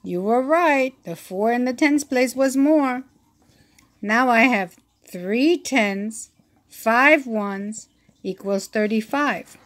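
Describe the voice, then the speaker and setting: Woman, slow and patient read-aloud voice, bright and a bit high, counts aloud, phone over the book. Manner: patient, counting aloud and asking the listener questions.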